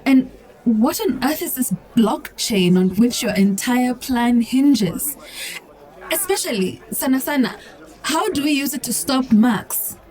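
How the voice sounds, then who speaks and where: A woman's voice: high voice, animated, slow, darkish tone, rough recording. A woman playing a skeptical young Kenyan whistleblower in a motion comic, questioning what she hears. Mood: doubtful, curious. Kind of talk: dramatic motion comic dialogue.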